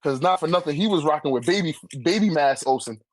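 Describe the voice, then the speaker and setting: High-voiced man in animated casual debate. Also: fast. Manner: animated, slangy, heavy swearing. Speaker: guest debating a manga tier list. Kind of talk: casual chat.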